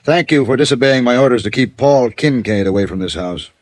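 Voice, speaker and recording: Man playing stern rich dad, snobby and formal, medium pitch, dry close sound, old radio drama. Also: lively intonation, fairly quick, no echo, close to an everyday voice. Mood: stern, cold. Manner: formal, commanding, condescending. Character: stern wealthy businessman father, courteous but snobbish. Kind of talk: 1950s radio drama acting.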